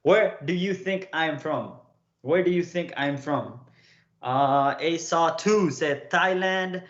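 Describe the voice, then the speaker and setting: A young man from Nepal chats with his livestream audience in a relaxed, storytelling way. He keeps checking in with them as he talks. His voice sits a little high, and the sound is roomy and slightly dull. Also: takes it slowly. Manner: chatty, keeps checking in with "okay?" and "right?". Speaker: streamer coaching viewers.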